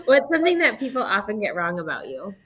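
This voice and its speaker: A woman moderating a roundtable, asking questions in a warm, upbeat way. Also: medium pitch, sing-song, fast talker.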